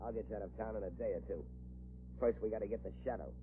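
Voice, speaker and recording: An actor playing a ruthless, scheming crime boss in a clipped, tough 1940s radio style, on a tinny old recording. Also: male voice, lowish voice, fast talker, a little hiss or hum, natural voice. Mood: scheming, impatient. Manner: clipped, bossy, tough-talking gangster.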